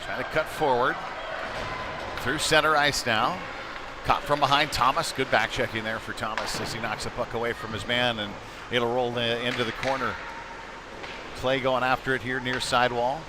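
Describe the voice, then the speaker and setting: Male hockey play-by-play commentator, mid-pitched voice, conversational and clipped phrasing, live broadcast with clear rink noise behind him. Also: level tone, moderate pace.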